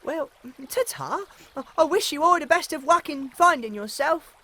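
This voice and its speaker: A woman's voice: sits high, animated, normal speed, bright, forceful tone. A woman doing a chirpy little gnome. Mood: friendly. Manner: cheery, courteous farewells. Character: polite, chirpy male gnome.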